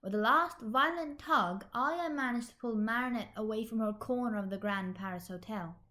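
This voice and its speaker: A woman with a fairly high voice reads a story aloud. She is an amateur narrator delivering scripted fan fiction narration. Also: normal speed, bright, forceful tone.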